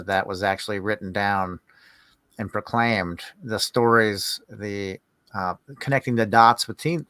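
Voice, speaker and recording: A man hosting a podcast in casual, rambling talk, musing aloud in halting phrases. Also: on the low side, a bit bright, clear, resonant tone, dry recording. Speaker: podcast host.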